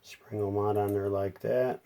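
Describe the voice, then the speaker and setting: Low, mellow-voiced man thinking aloud. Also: fairly even intonation, measured pace. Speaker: hobbyist repairer presenting a how-to video. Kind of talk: unscripted hands-on repair narration.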